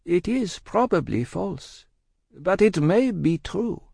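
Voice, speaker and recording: A man voicing a cold, composed French tavern-keeper's wife in an audiobook, speaking in measured, curt phrases. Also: high-pitched, animated, vocal fry, darkish tone, breathy edge, dry recording, clean studio sound, voice pushed up, exaggerated voice. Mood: guarded.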